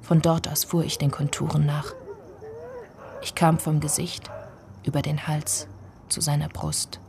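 Low-voiced woman narrating a radio drama. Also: little pitch movement, gravelly, crowd noise. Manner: measured, short deliberate phrases. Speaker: actor narrating. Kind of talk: literary first-person radio drama narration.

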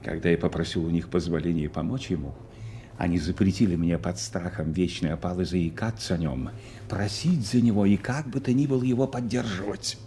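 Worried old lord in radio play, low grave voice, secretive, faint hiss behind. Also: male voice, fairly even intonation, brisk, mellow. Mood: anxious, confiding. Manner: confiding, grave. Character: troubled, secretive, loyal old nobleman, credulous father. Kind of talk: theatrical radio drama dialogue.

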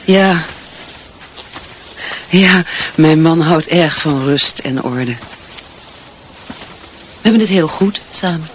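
An earnest provincial wife and mother in a radio play. The voice is fairly high, sincere and hesitant, searching for words over faint background ambience. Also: takes it slowly, pauses often, a bit bright, slight background noise. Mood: wistful, confiding. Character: earnest, dutiful wife and mother yearning for purpose. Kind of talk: radio drama acting.

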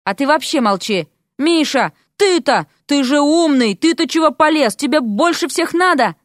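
A woman's voice: high-pitched, expressive, moderate pace, no echo, studio quality, pitched above the performer's own voice. A woman voicing a bossy young female frog in an audio story, scolding in a blunt, sarcastic way. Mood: bossy, irritated.

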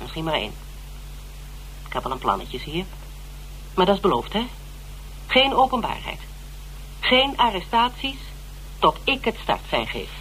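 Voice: medium pitch, very animated, moderate pace, long pauses, a bit bright. An actor in a Dutch radio drama playing a determined, persuasive investigator who repeats words insistently. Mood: insistent, pleading. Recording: noisy old radio drama recording with hum.

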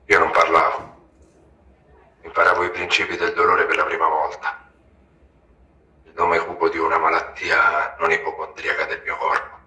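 A man's voice: deep voice, completely flat delivery, moderate pace, pauses often, bright, forceful tone. A man reciting poetic prose in a literary reading style. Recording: roomy, echoing recording.

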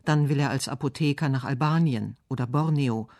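A man reading scripted literary narration and verse for radio, measured and articulate. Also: mid-range voice, darkish tone, no echo, clean studio sound. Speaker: radio essay narrator and poetry reader.

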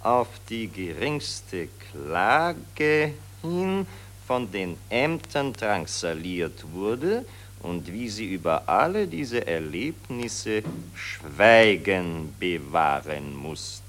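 An actor playing a pompous, bullying newspaper editor in a 1940s German radio play. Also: male voice, on the low side, very animated, very slow, a bit bright, slight background noise, heavily acted. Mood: pompous dictating. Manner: pompous dictation switching to hectoring threats. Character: bullying, insinuating male Viennese newspaper editor dictating his story.

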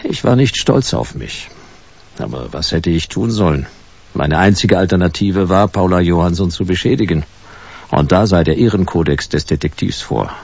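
An actor playing a dogged, wry private detective in a sci-fi noir radio drama, laconic and sardonic. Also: male voice, low voice, lively intonation, normal speed, creaky, a little mellow, slightly rough, highs cut off, heavily acted. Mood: wry, dry.

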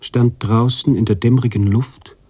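A man playing a brooding, contemplative narrator-author in a literary radio drama, speaking deliberately with pauses between phrases. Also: mid-range voice, steady tone, dark tone, slightly rough, no echo, lightly acted. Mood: musing. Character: brooding male narrator-author, contemplative, troubled by his heroine.

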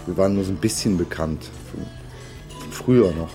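Ein Schauspieler spielt in einem Krimi-Hörspiel einen unbeholfenen, zögerlich ausweichenden Mann. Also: male voice, sits low, fairly quick, dark tone, soft background music, lightly acted. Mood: hesitant, evasive. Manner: hesitant, awkward, evasive. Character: awkward, evasive man snooping on a date. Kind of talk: radio crime drama dialogue.

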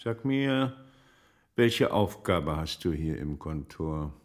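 An actor in a German radio play playing a shrewd magistrate's investigator in old Italy, with a measured, formal, questioning manner. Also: male voice, extremely low pitch, sing-song, heavily acted. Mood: probing, measured.

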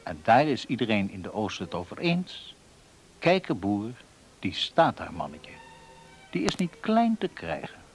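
A man narrating a scripted radio drama in a deliberate storytelling manner. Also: fairly low pitch, huge pitch swings, faint music behind.

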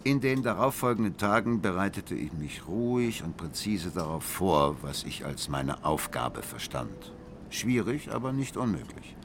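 Brooding, deep-voiced obsessive husband. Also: male voice, sing-song, background noise, exaggerated voice. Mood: brooding, controlled. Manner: deliberate, intense, precise, dramatic swings. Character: brooding, obsessive husband coldly recounting his grim plan. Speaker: first-person narrator of an audio drama. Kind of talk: literary radio drama narration. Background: music.

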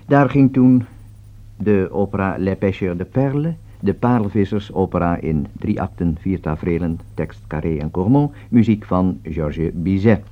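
A man's voice: low voice, normal speed. A man with a Dutch accent makes a formal, carefully articulated scripted radio announcement in a 1960s style. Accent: Dutch, from the Netherlands. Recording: thin, phone-like old radio recording.

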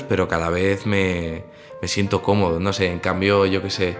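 A man talking casually and reflectively, hesitating as he searches for words. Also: deep voice. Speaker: pianist. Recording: music under the voice. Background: clear music.

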